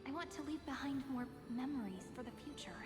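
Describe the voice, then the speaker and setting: An actress playing a gentle, wistful young woman in a video game, speaking with a dreamy tenderness. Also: high-pitched, lively intonation, brisk. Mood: tender, pleading. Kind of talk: scripted video game acting. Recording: echoey long reverb, music behind.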